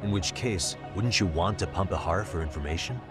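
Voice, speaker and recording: An actor playing a serious, pushy, determined detective in an English video game dub. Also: male voice, low-pitched, brisk, over music, close to an everyday voice. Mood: insistent. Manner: serious, pressing. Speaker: private detective character.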